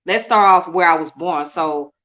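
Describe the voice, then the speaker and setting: Woman guest, low pitch, colloquial and repetitive, lo-fi sound. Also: moderate pace. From Midwest-born, raised in Atlanta. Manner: repeats herself, relaxed, colloquial. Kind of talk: casual interview storytelling.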